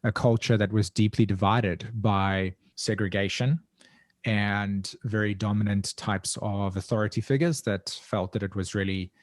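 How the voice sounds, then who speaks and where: A man's voice: lowish voice, fairly even intonation, unhurried, no room sound, clean studio sound. A man with a South African accent, speaking as an interview guest in a reflective, earnest, introspective way, often searching for his words. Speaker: guest, evolutionary astrologer.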